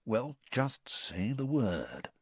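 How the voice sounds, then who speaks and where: A man's voice: mid-range voice, very animated, moderate pace, a bit bright, slightly rough, no echo, voice pushed up. A man voicing a jovial, shifty middle-aged sports official in an audiobook, falsely chummy, with a hesitant, confiding, blustering manner. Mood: low, coaxing.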